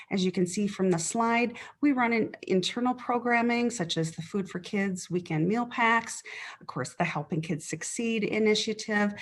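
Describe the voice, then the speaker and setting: Woman, nonprofit welcome remarks, hesitant, lots of ums, mid pitch, video call. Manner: hesitant, many fillers. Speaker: nonprofit representative.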